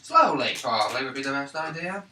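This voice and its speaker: A man chats and jokes as a player in a tabletop game, swearing freely. His voice is fairly high and very bright. It comes through roomy, with some distance from the microphone. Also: expressive, a little fast. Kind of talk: casual chat during play. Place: indoor room at a gaming table. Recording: echoey room, distant mic, faint noise.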